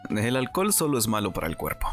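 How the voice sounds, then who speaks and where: A man playing a composed, caring young nobleman with measured, formal speech in a dramatized audiobook. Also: fairly low pitch, sing-song, soft background music. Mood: composed, inquiring.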